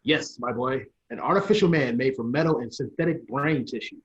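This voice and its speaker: A man's voice: mid-range voice, brisk, close to an everyday voice. A man playing a proud older inventor professor in an old-style radio play, explaining theatrically and sometimes stumbling. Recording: dull lo-fi sound.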